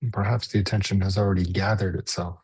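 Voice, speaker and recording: A man guides a meditation in a mellow, lowish voice that is very husky and full of breath. He speaks gently in short phrases with unhurried pauses between them. The sound is close and dry. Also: little pitch movement, no room sound. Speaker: mindfulness meditation teacher. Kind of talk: guided body scan meditation.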